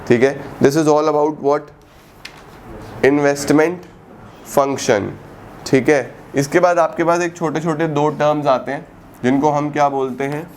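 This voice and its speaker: A man teaching an economics class. He keeps asking the students questions and answers them himself, mixes Hindi with English terms, stretches out key words slowly and calls his students "beta". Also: a little high, fairly even intonation, a little hiss or hum. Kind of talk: recorded classroom lecture.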